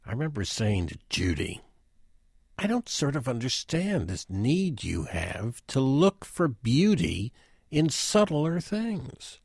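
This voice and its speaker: A wry, rambling intellectual in a radio drama. His pitch swings dramatically while he takes his time, digressing and hesitating. The sound is dry and close. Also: sing-song, slow, vocal fry, a little mellow, husky, no room sound, full character voice. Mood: wry, reminiscing. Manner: hesitant, digressive, chuckling asides. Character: rambling, wry, self-deprecating male intellectual reminiscing. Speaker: actor in a radio play. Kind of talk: conversational dramatic monologue.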